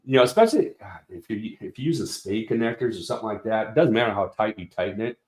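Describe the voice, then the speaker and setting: A man's voice: lowish voice, expressive, fast talker, a little mellow, echoey room. A man representing a product company, explaining speaker design in a casual technical walkthrough with many fillers and restarts.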